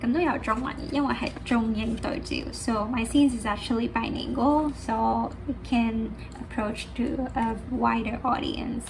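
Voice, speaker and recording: A woman's voice: fairly high pitch, steady tone, normal speed, gravelly, few pauses. Young Hong Kong-accented woman, artist, casual, lots of fillers, noisy track. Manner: switches between Cantonese and English, many fillers. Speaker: artist and art teacher. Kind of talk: casual talk about her art. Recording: noisy background.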